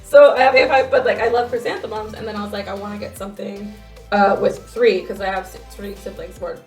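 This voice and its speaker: An American woman podcast co-host chatting casually and comically, telling personal anecdotes in a profane, animated way and quoting people in voices. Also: medium pitch, fast talker, faint music behind.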